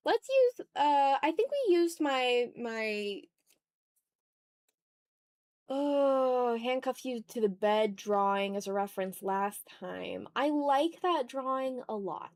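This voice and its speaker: A woman's voice: high-pitched, expressive, slow pace, a little mellow, no echo, clean studio sound. A woman streamer talking casually and informally to her chat while she draws, in a rambling, off-the-cuff way. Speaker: art streamer and ASMR YouTuber hosting a livestream.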